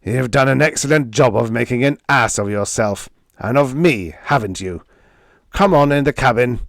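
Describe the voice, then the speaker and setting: A man's voice: medium pitch, expressive, normal speed, gravelly, no echo, studio quality, voice pushed up. A man voicing a domineering, hateful bully who rants with grating vehemence in a story reading. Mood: savage anger. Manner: grating vehemence, abusive ranting.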